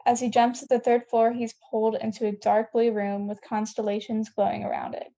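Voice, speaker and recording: A woman's voice: a little high, level tone, brisk, mellow. A woman reading scene headings and stage directions as narrator of a table read, on a dull lo-fi recording.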